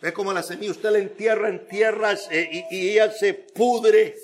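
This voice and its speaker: A male preacher with a notably high-pitched voice delivers a sermon. He speaks emphatically, restating phrases and building his points through repetition and rhetorical questions. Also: steady tone, normal speed.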